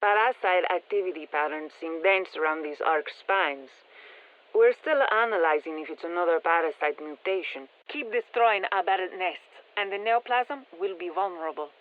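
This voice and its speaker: Scientist over narrow radio. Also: female voice, lowish voice, normal speed. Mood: focused, matter-of-fact. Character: composed female scientist-engineer guiding soldiers against alien parasites. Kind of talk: scripted game mission briefing.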